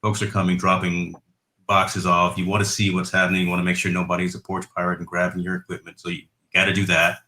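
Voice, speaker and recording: Deep-voiced man in an echoey room. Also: fast talker. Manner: relaxed, rambling, with restarts. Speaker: host. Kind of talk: casual tech chat on a call.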